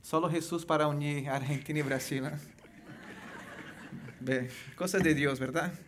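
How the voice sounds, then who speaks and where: A man from Venezuela with a Brazilian Portuguese accent, earnestly telling his testimony as a missionary guest, halting and restarting often. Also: fairly high pitch, steady tone, slight background noise. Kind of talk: personal testimony in church interview.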